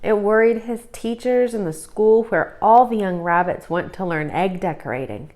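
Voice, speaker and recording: A woman reads a children's picture book aloud as a storyteller. Her voice sits at a middle pitch, and she delivers the scripted tale expressively. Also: normal speed, darkish tone. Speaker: storybook reader.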